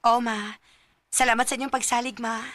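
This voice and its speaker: A woman's voice: fairly high pitch, level tone, brisk, fairly bright tone, close to an everyday voice. A woman playing an earnest, anxious schoolgirl in love in a radio drama, with a Cebuano Visayan Filipino accent. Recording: very noisy radio drama recording with clicks.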